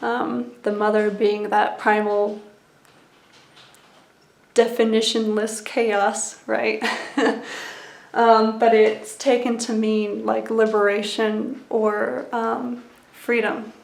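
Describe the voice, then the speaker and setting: Woman in her thirties, soft-spoken vlogger, slightly high voice, echoey room. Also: gravelly. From United States. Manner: soft-spoken and unhurried, with frequent ums and small laughs. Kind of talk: personal reflective vlog.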